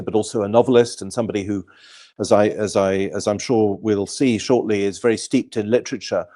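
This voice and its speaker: A man hosting an online interview show, introducing his guest in a measured way with frequent filler sounds. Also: on the low side, dark tone. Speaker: host.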